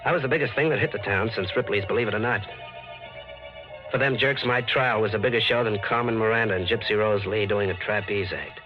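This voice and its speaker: A vintage, muffled radio crime play with music under it. An actor plays a tough American ex-con with a low voice, telling his tale in clipped, slangy hardboiled style. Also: fairly quick, natural voice. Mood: grim, haunted. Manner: terse slangy tough-guy talk. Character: tough wrongly accused ex-con telling his own story. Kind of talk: 1940s radio acting, hardboiled first-person narration.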